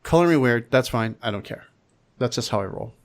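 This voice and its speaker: A man's voice: mid-range voice, animated, a little fast. A man hosting a podcast in an informal, wry, rambling way, chatting casually and reading out plugs. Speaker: podcast host.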